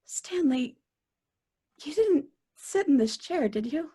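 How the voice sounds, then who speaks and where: A woman's voice: sits high, animated, takes it slowly, dark tone. An actress playing a nervous, easily frightened female accomplice in an old-time radio drama, anxious and melodramatic. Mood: terrified.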